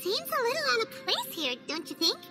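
A tiny, squeaky fairy sidekick chatters in a very high, lively voice that bounces all over. She talks about herself in the third person. Game music plays clearly behind her. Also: fairly quick. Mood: teasing. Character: tiny excitable floating fairy companion, female. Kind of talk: video game cutscene voice acting.

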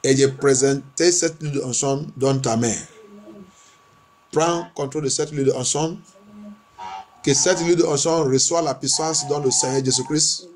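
A man's voice: medium pitch, measured pace, slight background noise. A male pastor, leading fervent church prayer with insistent, repeated declarations. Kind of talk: fervent church prayer, alternating French and English.

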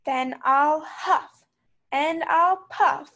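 A hungry, menacing storybook wolf, acted out by a woman, growls slow, drawn-out threats. The villain sounds gravelly and takes his time over every word. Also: high voice, fairly bright tone, heavily acted. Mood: gloating threat. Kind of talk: children's storybook character voice.

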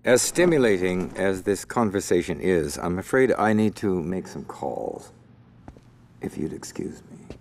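A man's voice: deep voice, lively intonation, a little slow, a little mellow. A man playing a cold, sardonic, middle-aged crime boss in a video game cutscene, speaking formally with dry sarcasm.

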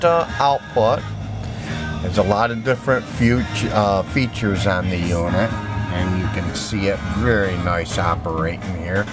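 A man with a low voice gives a casual, off-the-cuff walkthrough of an item he is selling. He is plain-spoken and repeats his reassurances, talking from behind a handheld camera in a room at home, with music clearly playing behind him. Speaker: seller demonstrating used audio gear. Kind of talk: casual unscripted product demonstration. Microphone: handheld camera mic, speaker off screen. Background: music playing from a record.

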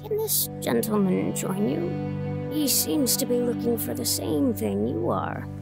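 A woman's voice: fairly high pitch, expressive, a little slow, gravelly, over loud music, full character voice. A woman playing a polite, helpful records librarian in an audio drama, with a courteous manner.

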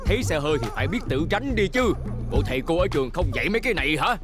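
A man's voice: on the high side, very animated, rapid-fire. A man voicing a rude, scolding driver in a cartoon dub. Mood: irritated scolding. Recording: music behind the voice.

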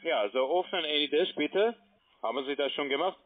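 A man's voice: on the high side, animated, a little fast. A man posing as tech support, a native English speaker speaking broken German with faulty word order, pushing instructions. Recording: tinny phone line.

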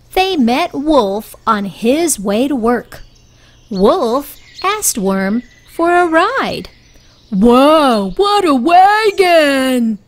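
Slow, high-pitched animated woman storyteller. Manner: deliberate, animated for young children. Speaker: children's story reader. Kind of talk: slow expressive picture-book read-aloud.

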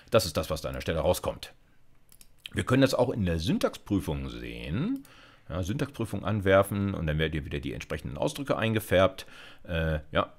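A male university lecturer with a very low voice and animated, wide-ranging intonation. He teaches informally, as in a recorded screencast, speaking colloquially and straight to the students, often checking in with short tag questions. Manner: colloquial, addresses students directly, frequent check-in tags. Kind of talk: informal screencast lecture with live coding demo.

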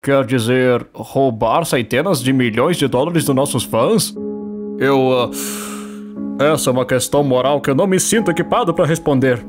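A man's voice: medium pitch, moderate pace, lightly acted. Nervous scheming cartoon employees, voiced by a Brazilian man, whiny, with music bed. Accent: Brazilian Portuguese.

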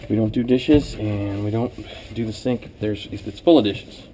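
A man with a fairly low voice talks through a job as he does it, like a trainer. His delivery is casual, plain and practical. The action-camera audio has clear noise and room ambience around him. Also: normal speed, dark tone, low-quality recording. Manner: plain, practical, talking while working. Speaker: cleaning trainer. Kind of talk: casual hands-on instructional narration. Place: small workplace kitchen, indoors. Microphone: body-worn or head-mounted camera.

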